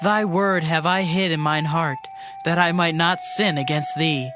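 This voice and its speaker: Man, high-pitched voice, dramatized scripture reading, music underneath. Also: steady tone, a little slow. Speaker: scripture reader. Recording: reading over a music bed.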